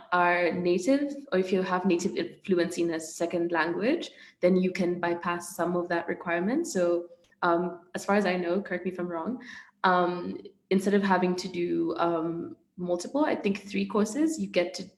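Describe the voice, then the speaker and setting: A young woman, a native Swahili speaker, answering student questions in a friendly, thoughtful way with many pauses. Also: fairly low pitch. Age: young adult. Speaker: student panelist. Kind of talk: casual Q&A answers. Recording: echoey room.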